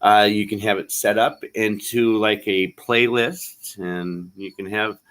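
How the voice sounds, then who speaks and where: Brief: Californian man, fairly low voice, encouraging and full of advice in casual chat. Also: fairly even intonation, a little slow, a bit bright. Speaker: guest in live chat. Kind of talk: casual live-stream chat.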